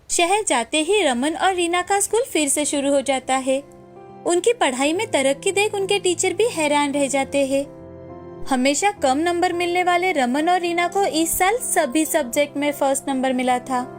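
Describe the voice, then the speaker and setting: Female storyteller, high voice, scripted kids' tale over soft music. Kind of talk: scripted children's story narration.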